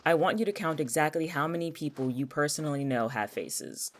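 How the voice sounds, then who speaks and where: Actor doing a cynical young college student, sardonic and blunt, pitch jumps around a lot, audio drama. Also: animated, fairly quick, natural voice. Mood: complaining, blunt. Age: young adult. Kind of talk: naturalistic audio drama acting.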